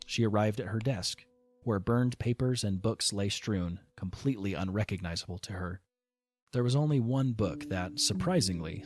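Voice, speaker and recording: A man narrating a fan audio drama in a calm, measured reading. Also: lowish voice. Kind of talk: scripted storybook narration.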